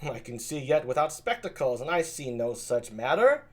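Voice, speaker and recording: Casting: scornful bachelor, as a reader plays him, lively, wry, unhurried. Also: mid-range voice, close to an everyday voice. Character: mocking bachelor, scornful of love.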